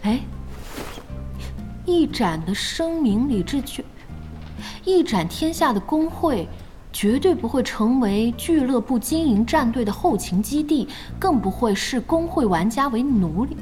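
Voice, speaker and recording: A woman playing a spirited young gamer in an audio drama, chatty, expressive and inquisitive. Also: on the high side, unhurried, faint music behind. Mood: surprised, eager. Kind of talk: audio drama acting in dialogue.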